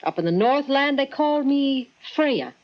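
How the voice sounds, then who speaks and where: A woman's voice: high-pitched, lively intonation, normal speed. A woman playing a kindly, ancient snow goddess as a folksy old grandmother in a 1940s radio drama. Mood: chuckling, folksy. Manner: folksy, chuckling, indulgent. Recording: dull, band-limited 1940s radio recording.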